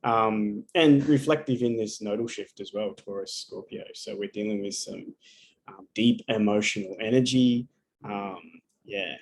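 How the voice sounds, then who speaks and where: A man's voice: lowish voice, a little slow, poor audio. A man giving a live astrology reading over a video call, talking casually and bluntly and swearing often.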